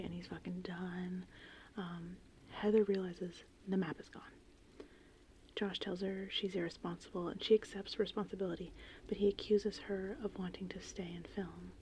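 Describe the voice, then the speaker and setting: A woman host speaks in a hushed, breathy ASMR whisper with a mellow, dark tone. She rambles casually, as if retelling a story in conversation. Gentle rain and thunder sit faintly behind her voice. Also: on the low side, little pitch movement, moderate pace. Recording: faint background noise, rain and thunder ambience. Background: gentle rain and thunderstorm ambience.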